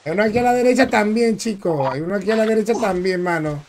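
Man, Dominican accent, high voice, upbeat streamer, repeats phrases, greets viewers, heavy background noise. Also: fast talker. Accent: Dominican Spanish. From Dominican Republic. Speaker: gaming streamer. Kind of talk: casual live-stream gameplay chat.

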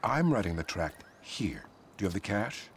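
An actor playing a serene, sly male cult leader in a video game, solemn and unctuous, breathy as phrases end. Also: lowish voice, very animated, normal speed, slight background noise. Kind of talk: video game cutscene acting.

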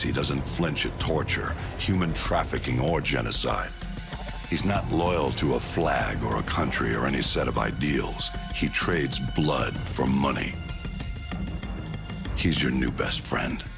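A grave, cynical military commander in a war game, with an extremely low, gravelly voice. He speaks in measured, ominous phrases over loud music. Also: exaggerated voice. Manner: slow, ominous, measured delivery. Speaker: military commander giving mission briefing. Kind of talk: scripted game narration.

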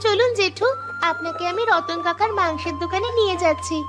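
A woman's voice: very high-pitched, fairly quick. A woman voicing an earnest, respectful, slightly anxious young village daughter in a cartoon. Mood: eager. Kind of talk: cartoon voice acting. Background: music.

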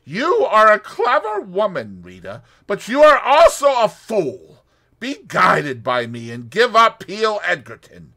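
A frantic Russian conspirator, bitter and jumpy, voice leaping high and loud, as a man reading the tale puts him on. He rants and snarls in a strained, animated way, with a bright edge. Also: takes it slowly, gravelly, hardly any pauses, heavily acted. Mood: agitated. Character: excitable Russian male conspirator, bitter and agitated.

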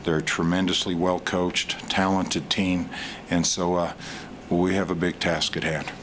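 A middle-aged man with a very low voice answers post-game questions as head coach. He speaks in a measured way with frequent pauses into a desk microphone. A faint room hum sits behind him. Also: little pitch movement, fairly bright tone. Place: press room in front of a sponsor backdrop.

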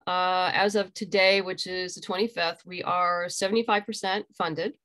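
Lower-pitched middle-aged woman explaining casually. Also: fairly even intonation, normal speed, a bit bright. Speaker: interview guest, graphic novel creator. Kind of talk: casual interview conversation. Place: home room, indoors, on a video call. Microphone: video call computer microphone.

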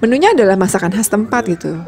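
A woman's voice: mid-range voice, big pitch swings, fast talker, crowd noise. A woman with an Indonesian accent narrating a road trip vlog in a chatty, casual voice-over. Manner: chatty, lists costs, trails off with fillers. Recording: voice-over with loud background music.

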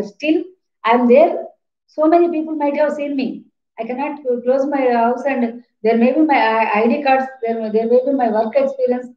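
A woman in her fifties with an Indian accent, lecturing an online class in a didactic, repetitive way with questions to students. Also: sits high. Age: 50s. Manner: didactic, repeats points, poses questions. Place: small plain room indoors. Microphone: webcam or laptop mic at a distance. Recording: roomy, echoing, dull laptop-mic sound.

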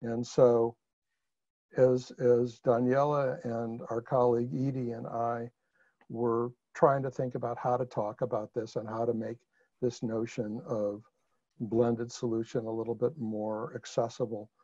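A man's voice: mid-range voice, little pitch movement, measured pace, warm tone, dry recording. A man with a Chicago accent presenting a webinar over a video call, talking conversationally with fillers and restarts. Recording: muffled video call audio.

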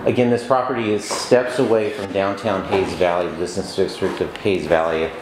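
Deep-voiced man touring an echoey empty flat. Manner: halting, with frequent uh fillers and restarts. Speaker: rental agent giving a walkthrough. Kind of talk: unscripted property tour narration. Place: empty apartment, indoors, walking room to room. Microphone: camera mic on a handheld camera. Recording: echoey empty rooms with background noise.

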